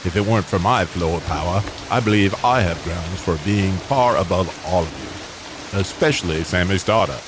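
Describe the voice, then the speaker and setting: Man putting on a snooty, pompous car alternator, low-voiced. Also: animated, normal speed, noisy background. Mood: haughty scorn. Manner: pompous, formal scolding. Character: snooty male car alternator, haughty and disdainful.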